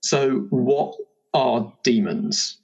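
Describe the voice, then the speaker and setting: A man's voice: mid-range voice, lively intonation, very slow, creaky, darkish tone, slightly rough, lo-fi sound. A man hosting a roleplaying podcast, casually explaining game rules and ideas. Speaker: host, game compère.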